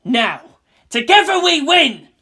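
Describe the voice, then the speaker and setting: Determined young boy mecha pilot, acted by a woman, pleading and emphatic, pitched a little high, for an audio story. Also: very animated, takes it slowly, sharp tone, exaggerated voice. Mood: pleading, rallying.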